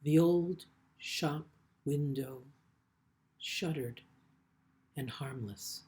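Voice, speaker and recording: A man giving a literary reading as a poet, with deliberate, measured phrasing and frequent pauses. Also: fairly high pitch, a little mellow. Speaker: poet reading own work. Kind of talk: literary poetry reading with brief spoken introductions.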